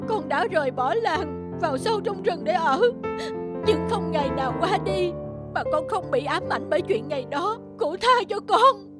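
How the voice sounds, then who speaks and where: A woman voicing a dramatic, boastful, guilt-ridden young male hunter in an animated folk tale, given to exclaiming and talking to himself. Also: very high-pitched, normal speed. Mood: remorseful pleading. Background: music.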